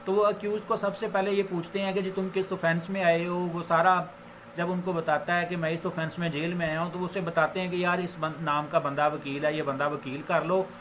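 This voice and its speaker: Male law lecturer, Pakistani accent, higher-pitched voice, didactic and repetitive, English legal terms mixed in, faint noise behind. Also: flat delivery, fairly quick, a bit bright. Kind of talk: informal explanatory law lecture. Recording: noticeable background noise.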